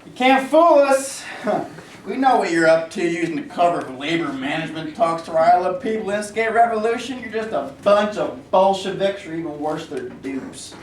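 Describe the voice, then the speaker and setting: A man's voice: high-pitched, lively intonation, moderate pace. A man playing a smug, accusing junior factory executive, stern and condescending, in a staged play reading. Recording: echoey room, distant mic, faint noise.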